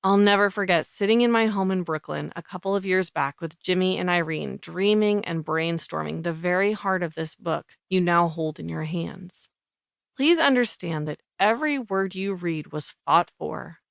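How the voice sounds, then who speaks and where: A woman's voice: lowish voice, normal speed, vocal fry, no echo, clean studio sound. A woman reading scripted audiobook narration.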